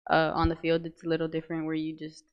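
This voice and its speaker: A woman's voice: low voice, fast talker, clean tone, muffled recording. A young woman student athlete answering interview questions casually, with easy laughs and lots of filler phrases. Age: young adult. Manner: relaxed, laughs easily, lots of "you know".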